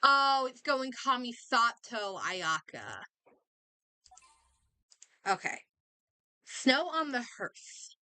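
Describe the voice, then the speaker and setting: A woman streamer gives casual, unhurried game commentary, thinking aloud as she goes. Her voice is bright and a little high, swinging widely in pitch with an expressive, chatty delivery. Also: clear, resonant tone, no echo.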